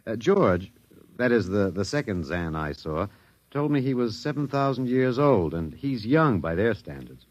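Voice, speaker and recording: An actor playing a wry, detached, philosophical American academic, musing and hesitant, in a 1950s radio drama. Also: male voice, fairly low pitch, big pitch swings, moderate pace, dry recording. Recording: dull vintage 1950s radio recording.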